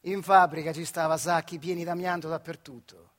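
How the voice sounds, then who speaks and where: Actor as a high-pitched, bitter old Marche factory worker. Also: male voice, fairly even intonation, brisk, close to an everyday voice. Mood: wry, reminiscing. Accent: central Italian, Marche dialect. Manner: colloquial, rhetorical questions, swinging between hushed and loud. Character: embittered old retired male factory worker, earthy and plain-spoken. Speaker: stage actor in a monologue. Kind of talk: radio theatre dramatic monologue.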